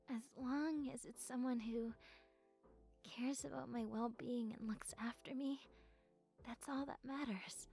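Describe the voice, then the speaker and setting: A woman playing a shy, sweet young goth best friend in an intimate audio roleplay, soft-spoken and breathy, with hesitant, stammering speech. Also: high-pitched. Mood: tender, relieved.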